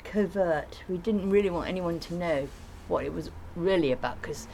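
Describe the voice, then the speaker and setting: A woman's voice: fairly low pitch, normal speed, creaky, a little mellow, a little hiss or hum. A woman in informal conversation, explaining and recollecting in a rambling way. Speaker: artist being interviewed.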